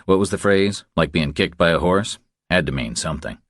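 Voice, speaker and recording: Deep-voiced male audiobook narrator, expressive. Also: a little fast, dry recording, clean studio sound. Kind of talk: scripted thriller narration.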